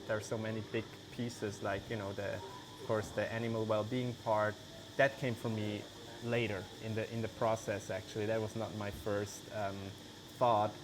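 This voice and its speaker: A man's voice: fairly low pitch, normal speed, noisy background. Man chatting, insects buzzing behind. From Switzerland. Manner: relaxed, many "like" and "you know" fillers. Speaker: guest, vegan cheese maker. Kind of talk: casual interview.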